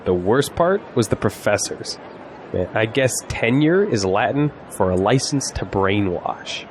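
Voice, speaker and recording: An actor playing a confident, sarcastic young college graduate in an audio drama, with wry, joking delivery. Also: male voice, on the low side, lively intonation, unhurried. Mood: upbeat, sardonic. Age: young adult. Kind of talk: scripted audio drama dialogue. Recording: faint background noise, outdoor crowd ambience.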